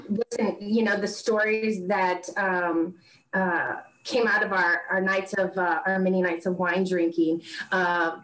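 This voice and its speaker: A woman director speaks on a video call from an echoey room, her voice mid-pitched and ordinary. She talks with energy, often repeating a phrase twice to drive it home. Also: a bit bright. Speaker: film director. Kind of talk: casual interview chat.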